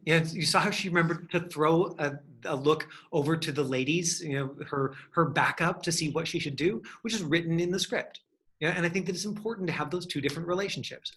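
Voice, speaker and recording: A man's voice: a little high, pushed and bright. A man coaching an acting class, giving instructions in an encouraging way. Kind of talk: hands-on acting lesson.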